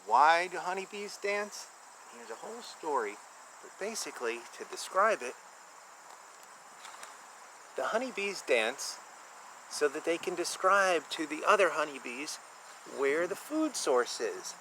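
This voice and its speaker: A man reading a children's picture book aloud and explaining it informally in simple terms, with an animated manner. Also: on the high side, measured pace, pauses often, slight background noise, low-quality recording.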